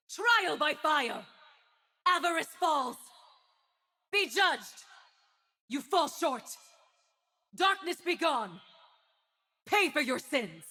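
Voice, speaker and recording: A woman's voice: sits high, bright, forceful tone, full character voice. A woman playing a proud, righteous winged warrior and judge in a video game, with a solemn, commanding, haughty delivery. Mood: wrathful command. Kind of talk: dramatic video game voice acting.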